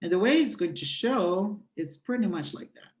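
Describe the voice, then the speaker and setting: A speaker whose first language is Portuguese gives a step-by-step app tutorial in an explanatory, halting way, often pausing on "uh". The voice sits at a middle pitch. The recording carries a noticeable roomy echo. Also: lively intonation. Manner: explanatory, halting with frequent "uh" fillers. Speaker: presenter demonstrating a language-exchange app. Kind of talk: screen walkthrough tutorial.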